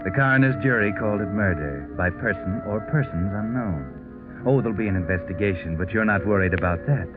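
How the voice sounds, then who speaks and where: A sardonic, insinuating radio mystery narrator voiced by a man, fairly low and knowing, with music clearly behind. The 1940s recording is narrow and muffled. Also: expressive, lightly acted. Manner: insinuating, ironic, deliberate.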